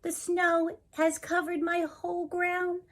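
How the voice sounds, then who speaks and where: A woman's voice: extremely high pitch, level tone, slow pace, pitched above the performer's own voice. A woman voicing a worried, hungry little female rabbit from a children's storybook, sounding plaintive.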